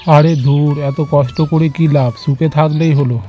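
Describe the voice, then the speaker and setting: Cartoon role: lazy young village lad played by a man, slightly high voice, shrugging fatalist, lo-fi track with music. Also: steady tone, unhurried. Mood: lazy, dismissive. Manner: dismissive, fatalistic. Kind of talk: cartoon moral-story voice acting. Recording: dull lo-fi sound, music behind.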